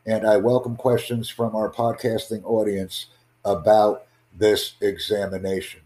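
A man hosting an exam-prep podcast, teaching in a slow, formal, deliberate way and asking rhetorical questions. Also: fairly low pitch, warm tone. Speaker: IELTS tutor and podcast host.

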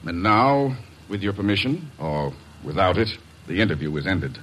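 An actor playing a smug, manipulative middle-aged doctor in a 1940s radio drama, speaking precisely and condescendingly. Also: male voice, low-pitched, normal speed, heavy background noise, close to an everyday voice.

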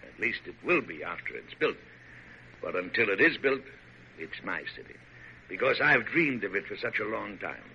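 An actor in a vintage radio drama playing a dignified, visionary American president, grand and declamatory, quick to exasperation. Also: male voice, on the low side, animated. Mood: dreamy, proud. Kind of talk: 1940s radio drama acting. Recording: noisy, muffled old radio broadcast.

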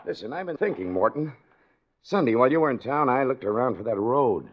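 An actor playing a gruff, suspicious gang boss, blunt and commanding, in a 1940s radio thriller. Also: male voice, medium pitch, expressive, brisk, lightly acted. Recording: dull 1940s radio recording.

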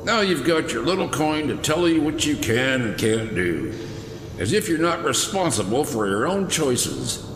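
An actor playing an older police commissioner held hostage, cutting and contemptuous, speaking slowly and deliberately. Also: male voice, a little high, big pitch swings, a bit bright, slight background noise. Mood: scornful. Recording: echoey room with long reverb.

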